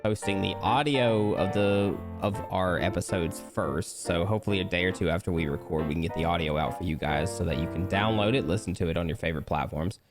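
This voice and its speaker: A man's voice: low-pitched, fairly quick, hardly any pauses. A man co-hosting a gaming podcast, explaining things in a laid-back, conversational way. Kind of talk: casual chat during a game let's play. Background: music playing behind the voice.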